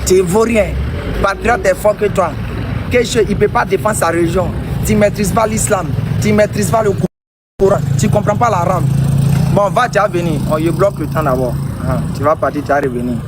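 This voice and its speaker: High-voiced man mocking his opponent. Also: brisk, very noisy. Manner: mocking, sarcastic, speaks to viewers. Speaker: debater. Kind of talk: live online debate.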